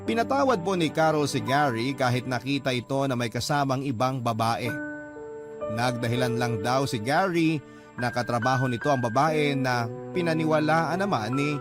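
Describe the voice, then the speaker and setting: A man's voice: medium pitch, natural voice. A man playing a lovestruck young office worker, narrating his story earnestly in a sentimental radio-drama style.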